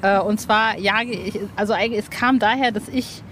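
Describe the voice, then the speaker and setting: A woman's voice: medium pitch, moderate pace, a bit bright. A woman hosting a casual, chatty interview with gushing enthusiasm, often correcting herself as she goes. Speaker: podcast host interviewing an author. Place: outdoor roof terrace. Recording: ambient noise from an outdoor terrace.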